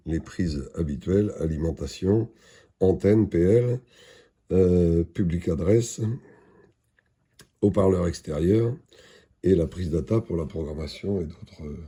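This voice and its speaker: Male product presenter, very dark husky tone, matter-of-fact and halting, unscripted demo. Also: low voice.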